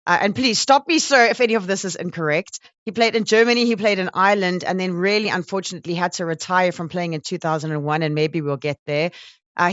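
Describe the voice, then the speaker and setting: A woman's voice: mid-range voice, a bit bright, dry recording, lo-fi sound. A woman with a South African accent hosting an interview in a chatty, informal, effusive way. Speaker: radio or show host interviewing a guest. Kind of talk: conversational interview.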